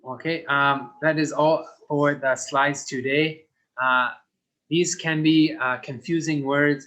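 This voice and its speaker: Slow, patient male English teacher. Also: mid-range voice, fairly bright tone, rough recording. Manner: speaks slowly and simply for young learners. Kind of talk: slow, deliberate recorded English lesson.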